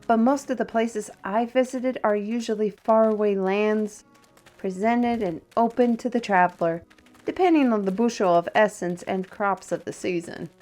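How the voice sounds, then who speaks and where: A woman's voice: mid-range voice, normal speed, a little mellow, soft background music, natural voice. Theatrical, proud fantasy artist played by a woman. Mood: dismissive, opinionated. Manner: theatrical, opinionated, wordy. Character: proud, chatty female fantasy artist and magical steward. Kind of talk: fantasy audio roleplay monologue.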